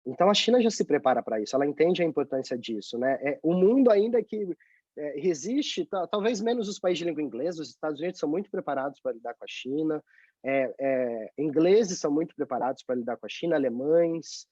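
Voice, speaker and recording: A man from Brazil talks as an interview guest over a video call, explaining at length and pausing often to hunt for words. His voice is mellow and relaxed. The sound is dry and muffled, as video call audio often is. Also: fairly high pitch, dry recording. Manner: explanatory, frequent hesitations. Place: office in Beijing.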